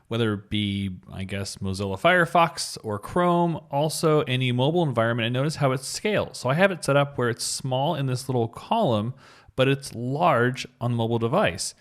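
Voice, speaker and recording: A man's voice: mid-range voice, lively intonation, moderate pace. A man giving an upbeat, informal screencast coding tutorial, explaining things step by step. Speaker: web developer giving a tutorial.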